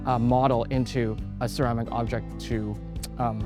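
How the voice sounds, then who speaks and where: A man's voice: medium pitch, flat delivery, normal speed, music in the background. A man in his forties who grew up in Canada, an artist talking about his work in an interview, thoughtful and hesitant, with many fillers and restarts. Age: 40s.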